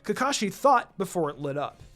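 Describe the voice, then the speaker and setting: Guy reading a story out loud, highish voice, swings around a lot, bit of music behind. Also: sing-song, normal speed. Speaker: narrator reading fan fiction. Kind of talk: dramatic scripted story narration.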